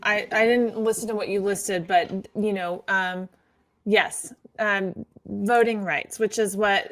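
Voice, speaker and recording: A woman lawyer, a native English speaker, talking on a casual online talk show in halting Spanish that often slips into English. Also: mid-range voice, steady tone. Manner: slow, hesitant Spanish with frequent switches to English. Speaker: immigration lawyer, show regular.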